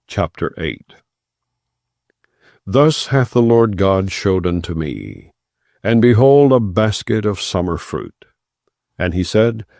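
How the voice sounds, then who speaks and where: A man with a low, mellow voice reads scripture as an audiobook narrator. His delivery is slow, solemn and declamatory, with the text left undramatized. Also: creaky, no room sound, clean studio sound. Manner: measured, declamatory. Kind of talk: solemn scripture reading, non-dramatized.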